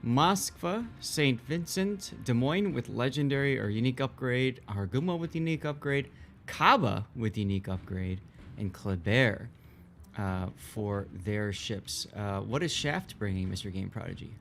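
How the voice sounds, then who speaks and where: A man giving conversational live commentary as an esports shoutcaster. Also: mid-range voice, normal speed, gravelly, a little hiss or hum.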